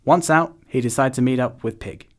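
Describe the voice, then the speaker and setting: A man's voice: mid-range voice, fairly quick. A man reading scripted narration for an animal story.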